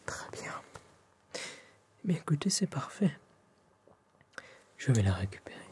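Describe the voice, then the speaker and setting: A man with a French accent playing a courteous, attentive tailor in a whispered ASMR roleplay, speaking softly, politely and formally to a client. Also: fairly high pitch, very animated. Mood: calm, attentive. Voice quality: soft, hushed, often whispered. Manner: polite, formal, unhurried salesman-like.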